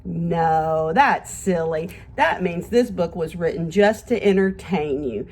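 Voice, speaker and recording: A woman's voice: fairly low pitch, lively intonation, slow, a little mellow. A woman teacher giving a read-aloud lesson to young children, speaking expressively in simple words and addressing them directly with questions and praise.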